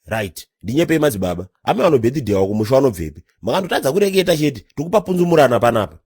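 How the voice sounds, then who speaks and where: Male actor as grumbling cartoon uncle, husky, animated swooping pitch, bossy, argumentative. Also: rapid-fire, no room sound. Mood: bossy, suspicious. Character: bossy, grumbling uncle guarding the party gate. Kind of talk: comedy cartoon voice acting.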